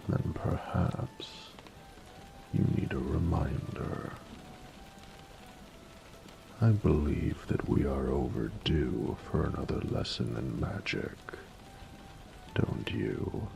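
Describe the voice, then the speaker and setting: A man playing a flirty, adoring dragon mentor in an intimate romantic audio roleplay, speaking formally in a gravelly voice that often drops to a whisper. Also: rumbling low, expressive, background noise, full character voice. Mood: adoring, seductive. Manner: slow, formal, doting, seductive.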